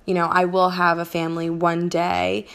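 A young woman from Colombia co-hosting a podcast, soft-spoken, with lots of hesitant "um"s. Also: on the low side, monotone, normal speed, creaky. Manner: soft-spoken, many ums, gentle laughs. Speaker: podcast co-host. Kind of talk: casual podcast chat.

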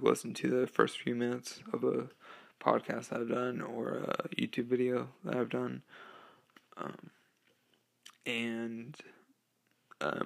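A sixteen-year-old boy hosting his own podcast, talking casually and hesitantly with lots of ums and uhs, through a low-quality microphone. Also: vocal fry, a bit bright, husky. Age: teens. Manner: hesitant, many ums and uhs, occasional laughs. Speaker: podcast host. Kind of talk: casual solo podcast talk. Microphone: low-quality home microphone.

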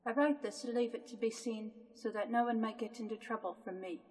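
Frightened young woman, acted by a woman; slightly high voice, distant in reverb. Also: fairly even intonation, a little fast, darkish tone, lightly acted. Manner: frightened, breathless, at times dreamy. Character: young woman, frightened, fading under a spell. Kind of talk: 1930s-style radio drama acting. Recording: reverberant, distant from the mic, with music.

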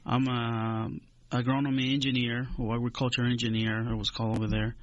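A man in his forties from Honduras, speaking English as a second language, answering interview questions in a measured, hesitant way with many fillers. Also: mid-range voice, level tone, dry recording, lo-fi sound. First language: Spanish. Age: 40s. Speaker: extension agent. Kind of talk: radio interview.

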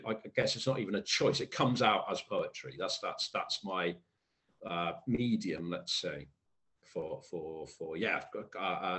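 A man from South Wales with a faint Welsh accent, a poet guest talking slowly and thoughtfully, often pausing and restarting to find the right word. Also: on the low side, fairly bright tone. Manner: thoughtful, hesitant, searching for words, many restarts. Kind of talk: reflective conversational interview.

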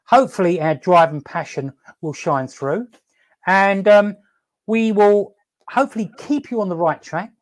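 A man hosting a casual live stream, upbeat and laughing often, talking haltingly with many fillers and restarts and asking "okay?" to check in. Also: high voice, animated. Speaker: host.

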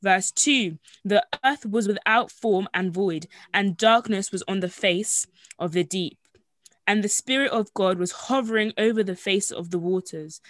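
A woman's voice: medium pitch, dry recording. Dry-sounding woman host reading scripture. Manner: rambling, many fillers, reads verses aloud. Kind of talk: casual faith discussion with scripture reading.

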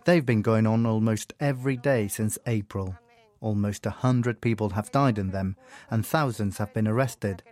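A man, a foreign correspondent, narrating a scripted radio news report in a calm, measured way. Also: lowish voice.

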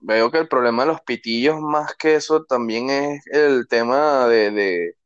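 A man's voice: mid-range voice, unhurried, clear, resonant tone, no echo, lo-fi sound. A man from Venezuela with a Venezuelan accent, chatting and telling stories casually as a podcast co-host. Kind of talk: casual conversational storytelling.

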